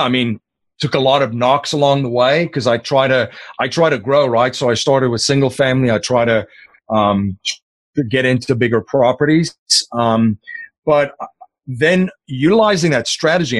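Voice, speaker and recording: A man's voice: medium pitch, dry recording. Afrikaans-man, dry sound. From South Africa. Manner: rambling, many fillers and restarts. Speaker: podcast host as interview guest. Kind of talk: casual interview talk.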